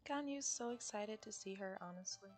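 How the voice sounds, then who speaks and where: A woman's voice: mid-range voice, normal speed. A woman giving casual, teasing and gushing commentary as she reacts to a video game. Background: game music.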